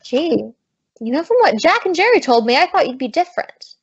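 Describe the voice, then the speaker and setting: High-voiced, brassy American wisecracker. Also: female voice, sing-song, a little fast. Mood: earnest. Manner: slangy, sassy. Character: brassy, wisecracking young American showgirl, big-hearted and feisty. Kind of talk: 1950s radio comedy acting. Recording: dull old-time radio recording.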